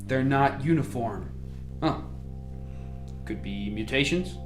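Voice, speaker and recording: A woman's voice: extremely low pitch, lively intonation, takes it slowly, over loud music, full character voice. A woman playing a testy, defensive lead scientist in an amateur radio play. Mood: puzzled, urgent.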